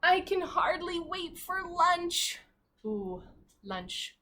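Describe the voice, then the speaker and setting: Woman teacher with a lively, swooping read-aloud voice. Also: very high voice, drawn-out words, background noise. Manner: expressive, asks the children questions directly. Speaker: primary school teacher reading aloud to pupils. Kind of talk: animated read-aloud of a children's picture book with asides.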